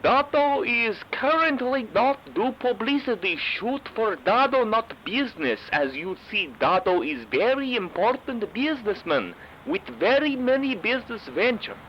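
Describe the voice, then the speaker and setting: High, singsong, evasive shopkeeper. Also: male voice, big pitch swings, unhurried, dull audio, slight background noise, full character voice. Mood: self-important. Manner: broken English, refers to himself in third person. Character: Dado, cheerful evasive shopkeeper of mysterious donut business. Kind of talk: comedic audio drama character acting.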